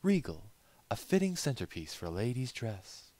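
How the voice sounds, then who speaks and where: A man's voice: medium pitch, sing-song, moderate pace, darkish tone, no echo, pitched above the performer's own voice. Man putting on a coy, refined lady pony. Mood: appraising.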